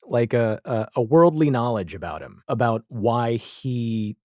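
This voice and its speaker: A man chatting casually as a podcast guest, telling stories in a halting, conversational way. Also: mid-range voice, darkish tone, dry recording, studio quality. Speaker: podcast guest, audio drama creator. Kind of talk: casual chat.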